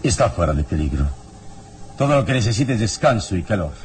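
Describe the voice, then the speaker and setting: Heroic adventurer for radio drama: very deep, commanding, calm yet highly inflected, grave. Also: male voice, a little hiss or hum. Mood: grave, reassuring. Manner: measured, authoritative, solemn dramatic delivery. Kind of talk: Spanish-language radio serial acting.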